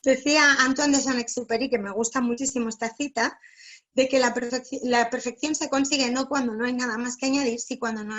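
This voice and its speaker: A woman with an accent from Spain speaks in a fairly high voice. She is presenting an informal online workshop as a language specialist. She explains point by point, often tacking on small questions to check that listeners follow. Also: steady tone, brisk, a bit bright. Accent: Spanish from Spain. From Barcelona, Spain. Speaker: philologist giving a writing workshop.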